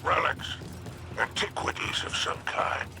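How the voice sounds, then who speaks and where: A man playing a haughty, shrewd male alien crime boss in a video game, gravelly and growling, with a clipped, imperious manner. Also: measured pace, heavy background noise, lightly acted. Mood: businesslike. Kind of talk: video game mission dialogue. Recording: very loud music behind.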